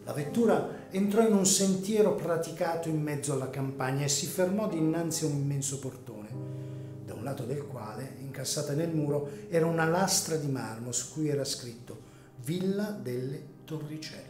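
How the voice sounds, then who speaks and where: A man narrating a dramatic story from a script in a measured, solemn, literary manner. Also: on the high side, expressive. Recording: echoey room, music underneath.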